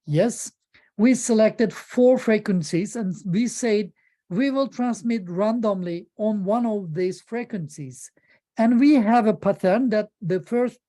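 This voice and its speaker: A woman giving an informal slide presentation about her amateur radio work, in halting with frequent pauses. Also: mid-range voice, dark tone. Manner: halting non-native English with frequent pauses.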